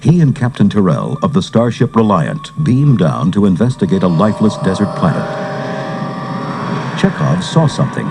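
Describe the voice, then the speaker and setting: A man's voice: sits low, sing-song, moderate pace, creaky, heavy background noise. A man giving dramatic scripted narration for a read-along adventure story. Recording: cassette transfer with music underneath.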